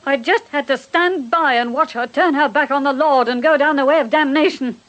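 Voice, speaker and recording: A woman's voice: high voice, moderate pace, fairly bright tone. A woman playing an elderly housekeeper and former nanny in a radio drama, devout, bitter and grieving, with fervent righteousness and a servant's deference. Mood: bitter, anguished. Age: older.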